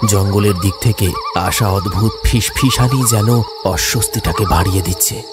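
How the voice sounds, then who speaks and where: A man's voice: fairly low pitch, normal speed. A man reads a scripted horror story in a dramatic, suspenseful manner. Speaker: narrator. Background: music, insect sounds.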